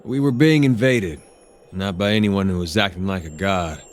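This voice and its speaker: An actor playing a wry, irreverent former soldier in a video game, with a dry, sardonic delivery. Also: male voice, low voice, normal speed, close to an everyday voice. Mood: wry, defiant. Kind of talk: scripted video game dialogue.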